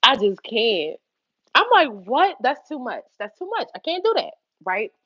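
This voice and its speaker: A young woman with a Philadelphia accent telling a story on her livestream, animated and swearing freely between laughs. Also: a little high, unhurried, dry recording, highs cut off. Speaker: YouTube livestream host. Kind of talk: casual livestream storytelling to chat.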